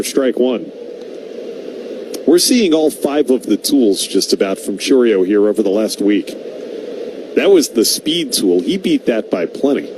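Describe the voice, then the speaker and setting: A man calling live baseball play-by-play as a radio announcer. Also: mid-range voice. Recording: clear background noise, outdoor ballpark ambience.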